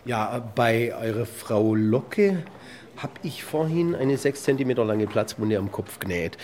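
Actor as junior doctor: young man, unhurried, self-important, halting, theatrical, kids' radio drama. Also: mid-range voice, lively intonation, darkish tone, a little hiss or hum, natural voice. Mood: offhand. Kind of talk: children's radio play acting.

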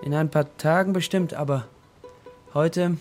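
An actor playing a distracted, dreamy father in a children's radio play, musing and offhand, with a creaky voice. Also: fairly high pitch, steady tone, unhurried, a little mellow, soft background music, close to an everyday voice. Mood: evasive. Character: distracted, dreamy composer father of a young girl.

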